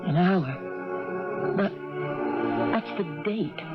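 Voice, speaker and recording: An actress playing an anxious, confused woman in a 1980s radio drama, halting and wondering as she speaks. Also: lowish voice, expressive, loud music behind the voice. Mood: disbelieving. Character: anxious, confused woman, one of a group of friends. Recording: muffled old radio broadcast.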